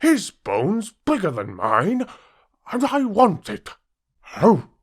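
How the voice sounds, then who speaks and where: 男性が演じる 欲張りで不満げな寓話の犬、高めに跳ね上がる声. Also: male voice, high voice, huge pitch swings, slow, breathy edge, pitched above the performer's own voice, exaggerated voice. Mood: indignant envy. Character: greedy, envious, indignant dog from a fable.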